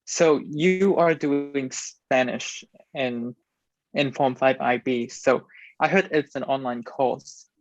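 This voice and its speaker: A man's voice: mid-range voice, takes it slowly, no room sound. A teenage boy from Hong Kong chatting casually as a guest on a student podcast. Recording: dry, muffled recording.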